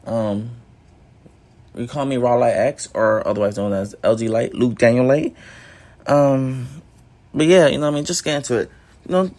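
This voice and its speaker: A raspy-voiced young man hosting his own podcast, musing aloud in a loose, rambling way full of fillers and "you know what I mean". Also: medium pitch, darkish tone. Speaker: podcast host. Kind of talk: casual solo reflection.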